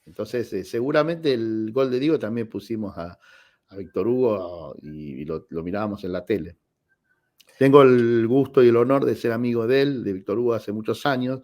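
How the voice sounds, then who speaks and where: A man in his forties with an Argentine accent, a guest telling personal anecdotes in an expressive, conversational radio interview style. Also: medium pitch, moderate pace. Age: 40s. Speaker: guest, tenor singer.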